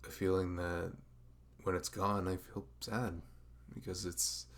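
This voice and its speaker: A man playing a dorky, smitten young best friend in an intimate ASMR roleplay, speaking in a halting, awkward, rambling way. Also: deep voice, flat delivery, fairly bright tone. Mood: tender, vulnerable.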